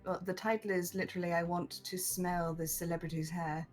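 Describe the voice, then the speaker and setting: A woman's voice: on the low side, little pitch movement, a little fast. A woman chatting casually as a player on a gaming livestream. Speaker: player in a tabletop game livestream. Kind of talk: casual chat. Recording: music behind the voice. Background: background music.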